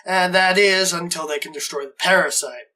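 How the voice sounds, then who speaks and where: Bright-voiced man narrating a review. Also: high voice, steady tone, moderate pace. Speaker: reviewer, show host. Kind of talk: scripted review narration, recapping a story.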